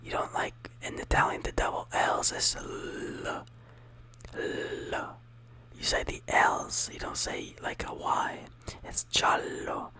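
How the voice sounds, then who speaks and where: A speaker whispering a casual ASMR lesson, softly repeating words and rambling with lots of fillers. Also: slow. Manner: soft whispering throughout. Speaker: teacher of Italian words.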